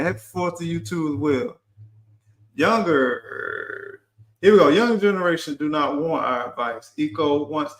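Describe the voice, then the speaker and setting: A man's voice: on the high side, bright, forceful tone, echoey room. A middle-aged man hosting a live stream, talking earnestly and urging his listeners, often repeating and restarting words. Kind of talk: casual live stream talk.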